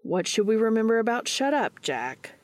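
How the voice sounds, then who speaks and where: A woman's voice: a little high, very animated, normal speed, darkish tone. A woman voicing a long-suffering, teasing younger brother with a dry, unimpressed manner in a story reading.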